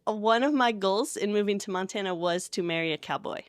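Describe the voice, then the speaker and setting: A woman's voice: medium pitch, a little fast, no echo, studio quality. An American woman in her thirties from Connecticut, telling her own story casually as an interview guest. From Connecticut, USA. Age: 30s. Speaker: podcast guest, campus ministry worker.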